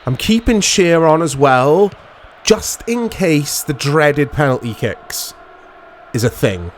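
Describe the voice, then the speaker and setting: Slow-paced man with a strained voice. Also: on the high side, animated, slight background noise. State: voice strained, going hoarse. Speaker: gaming channel host. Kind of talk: casual football video game commentary.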